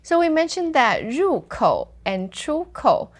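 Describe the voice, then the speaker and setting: A woman teaching Chinese vocabulary in a lively, quick lesson dialogue. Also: high voice. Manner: lively, quick, gives example sentences slowly.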